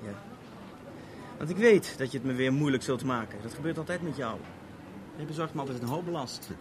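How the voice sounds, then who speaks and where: A male actor as an urbane French police officer in an old radio play, heard through a noisy recording. He is wordy and measured, dryly ironic, with a cool edge of warning in his formality. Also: mid-range voice, natural voice. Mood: wry, guarded. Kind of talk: 1970s Dutch radio drama acting.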